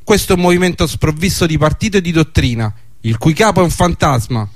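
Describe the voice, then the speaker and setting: A man plays an alarmed magistrate in a radio drama, giving a formal, declarative report. His voice sits fairly high and is recorded very dry, with no room around it. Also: fast talker, dry recording, lightly acted. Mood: grave alarm.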